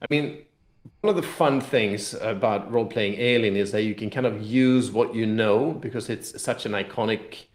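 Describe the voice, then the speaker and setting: Low-voiced man explaining casually, roomy echo. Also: normal speed. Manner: rambling, explanatory, hedging with "kind of". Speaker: game publisher representative being interviewed. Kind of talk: casual interview chat about a tabletop game. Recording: roomy, echoey sound.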